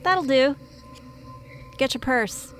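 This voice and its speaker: A bored, bossy scientist in an audio drama. Her voice sits a little high, and she sounds sardonic and unimpressed. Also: takes it slowly, a bit bright, soft background music. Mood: sly, bossy. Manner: blasé, sardonic. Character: jaded, bossy, cigarette-craving woman scientist.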